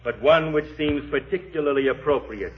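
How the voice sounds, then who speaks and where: An actor playing a solemn, earnest preacher delivering a measured, oratorical sermon in a 1940s radio drama. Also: male voice, fairly high pitch, lightly acted. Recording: muffled 1940s radio recording, music behind.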